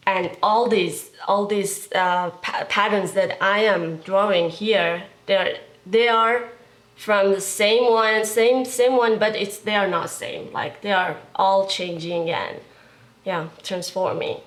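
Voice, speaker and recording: A young woman talks about her work in an art studio. She speaks slowly and hesitantly, often pausing to search for words. Her pitch sits in an ordinary middle range. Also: fairly even intonation, low-quality recording. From Kurdistan region of Iran. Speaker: visual artist, interviewee. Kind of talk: casual interview.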